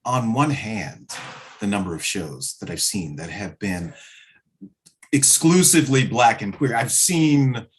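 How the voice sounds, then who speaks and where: A man answering as an interview guest, speaking conversationally in reflective, measured phrases. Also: fairly low pitch, lively intonation. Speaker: interview guest, play director.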